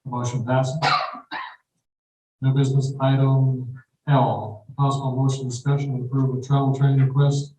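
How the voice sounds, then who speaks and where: A man chairing a city council meeting, calling votes and reading agenda items in a brisk, procedural way. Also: mid-range voice, level tone, mellow. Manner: brisk procedural, quickens when explaining. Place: council auditorium. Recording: echoey hall from far off, faint noise.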